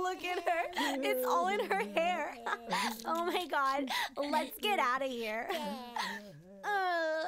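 A woman's voice: very high-pitched, a bit bright, full character voice. A woman voicing a bratty, boastful little girl with a childish, taunting, giggly manner in an acted monologue. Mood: taunting, excited.

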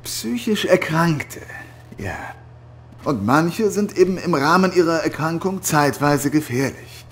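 An actor playing an affable but evasive psychiatric night nurse in a horror radio drama, overly reassuring and hesitant. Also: male voice, on the high side, animated, a little slow, fairly bright tone. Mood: chatty.

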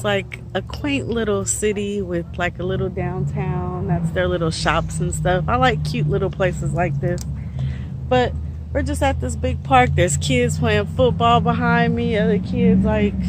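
A woman in her thirties, a truck driver, chatting casually to a phone held at arm's length outdoors, relaxed with lots of fillers. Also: medium pitch. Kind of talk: casual vlog to phone camera. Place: outdoors in a park and a truck yard. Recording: phone audio with outdoor noise.

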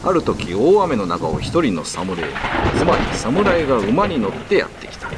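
A man's voice: medium pitch, big pitch swings, moderate pace, very noisy. A man narrating a scripted ghost-story radio drama in a dramatic storytelling style. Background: music.

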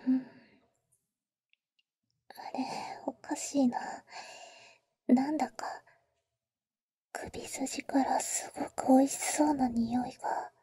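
A woman's voice: sits high, steady tone, dry recording, studio quality, full character voice. A woman acting a whispering, doting vampire big sister. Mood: puzzled, craving. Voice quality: soft, breathy, mostly whispered. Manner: slow, tender, teasing, boyish casual phrasing. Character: doting, teasing older-sister female vampire. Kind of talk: intimate Japanese roleplay ASMR. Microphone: close, dry ASMR microphone.

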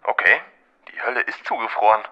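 A man's voice: lowish voice, fairly even intonation, fairly quick, bright, forceful tone. A man playing a sarcastic guy over the phone. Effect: phone filter. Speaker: Roman, friend on the phone. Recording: dull lo-fi phone line.

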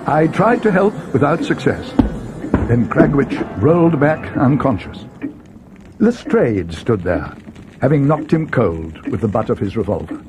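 An actor playing an earnest, loyal young friend of a detective, narrating a dramatic audio storybook. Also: male voice, mid-range voice, very animated, measured pace, music in the background. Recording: 1980s read-along cassette.

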